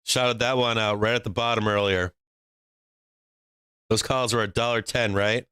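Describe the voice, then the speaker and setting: A man's voice: lowish voice, steady tone, normal speed, pushed and bright, clean tone, no echo, clean studio sound. A man from Boston hosting a live stock trading stream, brash and joking, laughing often between calls. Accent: faint Boston. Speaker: stock market livestream host.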